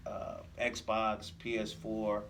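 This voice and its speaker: An American man speaking as a police officer guest in a radio interview, explaining things in a measured, conversational way. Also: lowish voice, completely flat delivery, a bit bright, recorded from a distance. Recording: faint noise, off-mic room sound.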